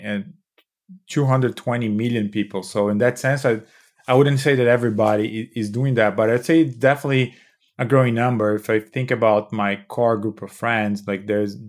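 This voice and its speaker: A man in his thirties from Brazil with a Brazilian Portuguese accent, explaining at length in a podcast interview, with frequent restarts. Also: mid-range voice. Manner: explanatory, many restarts and "you know". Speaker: startup founder and CEO.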